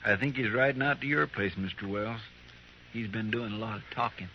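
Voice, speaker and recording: An actor playing a dutiful, eager sidekick in an old-time radio western, deferential and hurried. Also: male voice, fairly low pitch, natural voice. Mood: urgent. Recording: noisy dull old radio recording.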